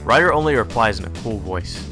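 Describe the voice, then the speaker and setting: A man reading visual novel narration aloud for a let's play, in a deliberate, dramatic manner. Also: lowish voice, lively intonation, music in the background.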